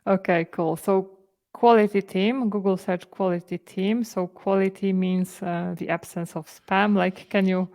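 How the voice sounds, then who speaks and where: A woman's voice: mid-range voice, steady tone, a little slow, darkish tone. A woman with a Polish accent hosting a video call interview, asking questions in chatty, loosely phrased speech full of fillers. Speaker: interview host.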